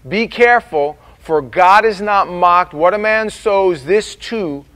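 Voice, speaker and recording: A man preaching a sermon as pastor, dropping quiet and slow in prayer and rising loud and emphatic on his warnings. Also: high-pitched.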